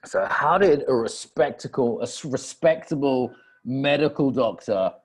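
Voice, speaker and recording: A man's voice: mid-range voice, expressive, a little slow, breathy edge, rough recording. A man hosting a podcast, interviewing a guest in a casual, informal conversational way.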